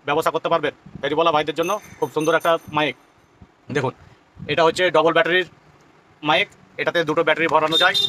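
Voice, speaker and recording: A man's voice: fairly high pitch, pushed and bright, a little hiss or hum, low-quality recording. A man gives an informal, explanatory product demonstration as a shopkeeper, often repeating himself. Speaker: shopkeeper presenting products on own channel.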